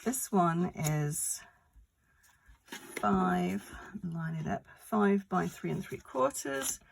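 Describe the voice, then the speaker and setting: A woman giving a casual card-making tutorial, croaky from a cold, talking through each step in a chatty, rambling way. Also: lowish voice, animated, drawn-out words, slight background noise, rough recording. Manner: chatty, rambling step-by-step explanations with many fillers.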